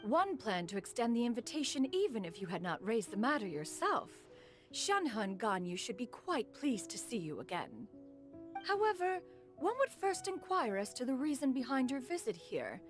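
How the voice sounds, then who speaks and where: A woman's voice: a little high, very animated, normal speed. A woman voicing an ancient female crane spirit in human form who speaks in a formal, archaic way, over game music. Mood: stately. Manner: formal archaic speech, refers to herself as "one". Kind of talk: video game cutscene voice acting.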